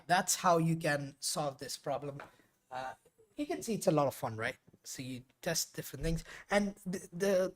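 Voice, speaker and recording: A man whose first language is Urdu, hosting a live coding stream and talking casually and chattily to viewers, with frequent fillers and restarts. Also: on the high side. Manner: chatty and rambling, with frequent fillers and self-corrections.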